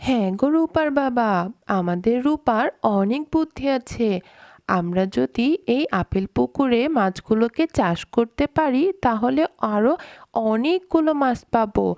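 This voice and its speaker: A woman's voice: on the high side, darkish tone, no room sound, clean studio sound. A woman voicing a sensible, supportive village wife and mother in a cartoon. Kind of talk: cartoon character dialogue.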